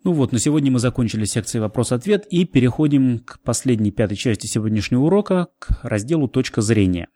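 A man's voice: medium pitch, expressive, fairly quick, few pauses, mellow, no echo. A man narrating a language lesson as the teacher. He explains new words, then repeats and translates them.

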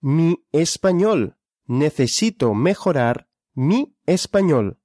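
A speaker leading a question-and-answer language drill. The speaker asks each question, then answers it deliberately. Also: mid-range voice, big pitch swings, dark tone, dry recording, clean studio sound.

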